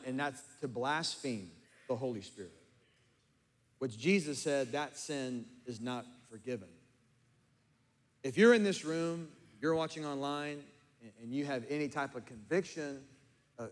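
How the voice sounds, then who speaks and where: A middle-aged man preaching a sermon as pastor, his voice slightly hoarse, moving from calm teaching to fervent, emphatic preaching. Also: mid-range voice. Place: church sanctuary with congregation, also streamed online. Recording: long hall reverb.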